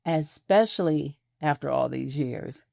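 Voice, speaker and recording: A proud, attentive husband in midlife, as a female narrator plays him in a romantic audio drama. He is tender and courteous, with a low, mellow tone and a very dry sound. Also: a little slow, gravelly, dry recording, exaggerated voice. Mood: affectionate. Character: proud, attentive, affectionate middle-aged husband.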